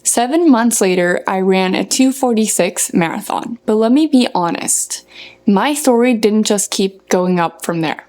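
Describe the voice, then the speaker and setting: A young woman from Norway gives a scripted motivational talk to viewers as a running coach, earnest and emphatic in her phrasing. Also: fairly high pitch. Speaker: running coach and content creator.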